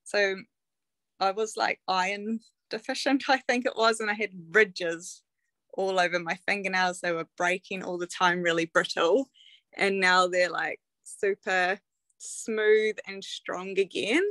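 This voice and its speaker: Bright-voiced woman giving a chatty testimonial. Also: medium pitch, fairly even intonation, a little slow, no room sound. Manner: chatty, lots of ums and likes. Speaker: product testimonial speaker. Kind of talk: casual testimonial.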